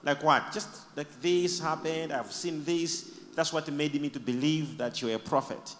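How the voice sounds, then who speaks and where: A man leading a church service, preaching emphatically and shouting blessings between quieter questions to the people testifying. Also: fairly high pitch, unhurried, in a large hall, a little hiss or hum.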